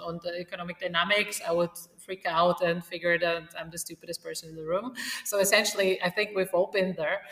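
A woman's voice: fairly low pitch, a bit bright. Echoey, distant middle-aged woman, Polish accent. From Poland. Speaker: podcast guest, economist and research director. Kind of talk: conversational interview answers. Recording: echoey, distant, reverberant room.

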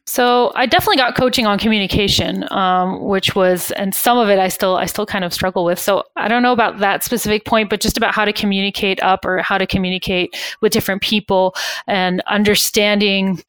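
A woman's voice: medium pitch, fairly even intonation, fairly quick, fairly bright tone, clear, resonant tone, no room sound. A woman talks through her experiences in a conversational interview, candid and reflective. Her speech is full of fillers and restarts. The sound is dry and close, with little room. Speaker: guest.

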